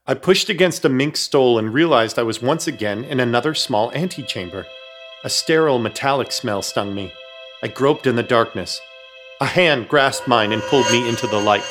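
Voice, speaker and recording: A man's voice: mid-range voice, moderate pace, music in the background. A man narrating and starring in a horror comedy radio play, with theatrical, urgent storytelling. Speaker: narrator and protagonist.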